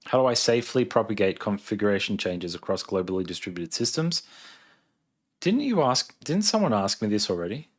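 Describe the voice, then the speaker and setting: An Australian man in his thirties with a fairly low-pitched voice. He talks casually, as a livestreamer does, reading out questions and thinking aloud as he answers. His manner is laid-back and conversational. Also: sing-song, fairly quick. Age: 30s. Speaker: live streamer, software engineer.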